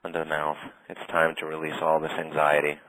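A man guiding a tapping session in a calm, steady way, naming tapping points and saying short phrases for the other person to repeat. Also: very deep voice, rough recording. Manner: calm, slow, leads phrases for repetition. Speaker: tapping practitioner.

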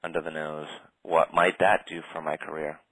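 A man guiding a tapping session in a calm, steady way, naming tapping points and saying short phrases for the other person to repeat. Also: a bass voice, husky, dry recording, poor audio. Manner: calm, slow, leads phrases for repetition. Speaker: tapping practitioner.

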